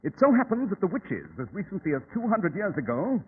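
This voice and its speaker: A shrewd, grave radio-drama detective with a fairly high, animated voice. His diction is formal and theatrical. The old recording is tinny with some noise. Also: a little fast. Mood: grave, didactic.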